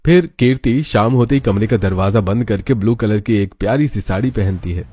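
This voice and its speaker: A man's voice: on the low side, brisk, warm tone. A man narrating a scripted cartoon story. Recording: music behind the voice. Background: background music.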